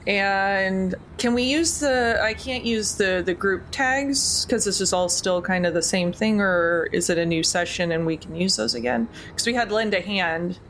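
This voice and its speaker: Woman playing a tabletop game, medium pitch. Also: unhurried, few pauses, a bit bright, slight background noise. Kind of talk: casual tabletop game play.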